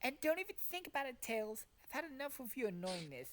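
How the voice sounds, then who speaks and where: Animated young woman reading fan fiction aloud. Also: high-pitched, fast. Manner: dramatic storytelling, profane asides. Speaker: narrator and podcast cast member. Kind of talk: jokey fan fiction read-aloud with casual asides.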